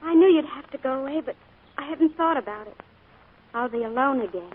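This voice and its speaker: A woman plays a wounded, earnest young small-town girl in an old radio play. Her voice sits high and carries a lot of feeling. The sound is narrow and scratchy, like a 1940s broadcast with light hiss. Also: a little fast, natural voice. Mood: wistful. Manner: earnest, emotional. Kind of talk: 1940s radio drama acting.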